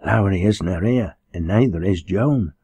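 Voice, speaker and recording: A man's voice: low voice, normal speed, dark tone, husky, no echo. A man voicing a frightened, weak young working-class mother in an audiobook, faltering and aggrieved in a Lancashire accent. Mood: nervous, faltering. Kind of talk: audiobook character dialogue.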